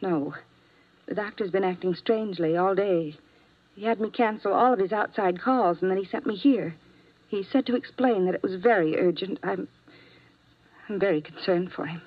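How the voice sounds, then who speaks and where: An actress playing an anxious young nurse in a 1950s radio drama, speaking hesitantly. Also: female voice, medium pitch, normal speed, natural voice. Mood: worried. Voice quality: worried, hesitant. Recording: lo-fi vintage radio recording.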